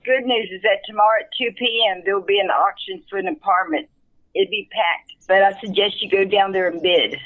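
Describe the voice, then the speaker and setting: A woman's voice: mid-range voice, lively intonation, fairly quick, phone-quality sound, natural voice. A woman playing a tired, impersonal city caseworker in an amateur radio play, brusque and dismissive. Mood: brisk, impersonal.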